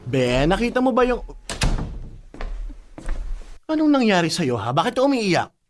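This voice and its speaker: A woman's voice: medium pitch, sing-song, measured pace. A woman playing a fiercely protective older sister in a radio drama, scolding and blunt but loving, with emphatic delivery. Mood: concerned, blunt. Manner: scolding, emphatic, with frequent tag questions. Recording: music under the voice.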